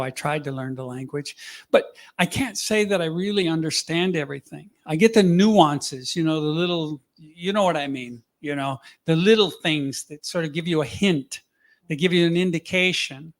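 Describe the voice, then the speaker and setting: A man speaking to an audience in a casual, halting way, full of fillers and restarts. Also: on the high side. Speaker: speaker on a panel.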